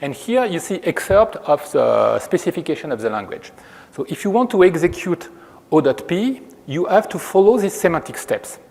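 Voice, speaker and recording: A man from France with a French accent, giving a measured technical lecture as a researcher at a conference. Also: a little high, sing-song, vocal fry, a little mellow. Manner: measured, explanatory, frequent restarts. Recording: long reverb of a hall.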